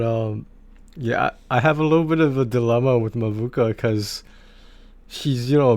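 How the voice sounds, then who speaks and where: A man's voice: mid-range voice, a little mellow, no room sound. Casual, rambling man livestreaming, dry close sound. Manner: rambling, halting, hesitant delivery with repeated words. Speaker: game livestreamer chatting with viewers.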